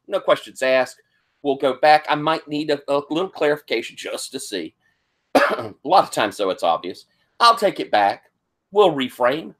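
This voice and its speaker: A hoarse, slightly sniffly man serves as game master for a tabletop roleplaying game. He talks casually and rambles, stopping often on fillers. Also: medium pitch, fairly bright tone. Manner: rambling, halting with many fillers.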